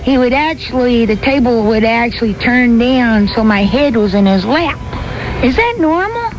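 A woman in her forties with a high, squeaky, young-sounding voice, chatting bluntly as an interviewee. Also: expressive, moderate pace. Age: 40s. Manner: chatty, blunt, laughs easily. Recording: lo-fi with noise behind.

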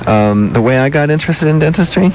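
A soft-spoken man, a dentist hosting a casual interview podcast, talking gently with many ums and restarts. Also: on the high side, animated, fast talker. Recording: lo-fi with faint noise.

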